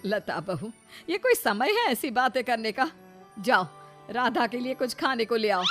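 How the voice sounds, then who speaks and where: A woman's voice: on the high side, sing-song, measured pace, a bit bright, soft background music. A woman voicing a protective middle-aged mother-in-law in a cartoon, concerned and reproving.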